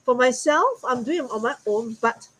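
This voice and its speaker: Brief: woman, bright higher pitch, friendly and earnest guest. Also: lively intonation, moderate pace, breathy edge, rough recording. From Singapore. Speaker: guest business partner. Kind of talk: casual online talk.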